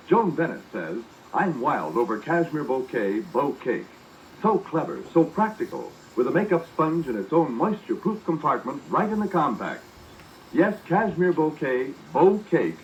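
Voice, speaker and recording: A man reading a 1940s radio commercial in an emphatic, polished announcer style. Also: a little high. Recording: muffled, noisy vintage radio recording.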